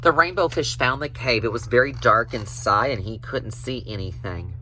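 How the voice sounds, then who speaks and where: A man telling a children's story in an expressive storybook reading style. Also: medium pitch, moderate pace.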